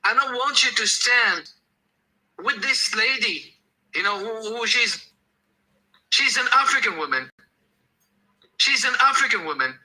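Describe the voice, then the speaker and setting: A man's voice: extremely high pitch, animated, a little slow, long pauses, pushed and bright, poor audio. A man from Algeria, speaking insistently and repetitively as a caller in an online discussion. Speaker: audience caller. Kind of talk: impassioned speech in an online audio discussion.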